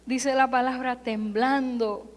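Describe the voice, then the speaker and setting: A woman who grew up in California delivers a Sunday sermon from the pulpit. Her voice sits high, and she takes her time, stacking emphatic parallel phrases one after another. The sound carries a long reverb from the church sanctuary. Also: fairly even intonation, slow pace. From California, United States. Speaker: preacher.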